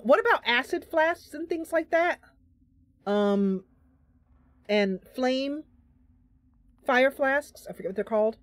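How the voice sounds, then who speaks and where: A woman's voice: on the high side, no room sound. A woman streaming a game, casually commentating live and thinking aloud as she chats with her viewers.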